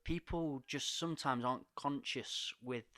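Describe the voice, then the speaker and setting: A man hosting a podcast, thinking out loud in a reflective way. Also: mid-range voice, a little slow, no room sound, studio quality. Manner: reflective, lots of agreeing murmurs. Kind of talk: casual podcast chat.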